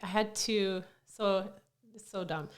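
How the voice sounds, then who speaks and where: A woman's voice: medium pitch, fairly even intonation, takes it slowly. Chatty woman, lots of "like". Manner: chatty, heavy filler "like". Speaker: guest coach. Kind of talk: casual podcast chat.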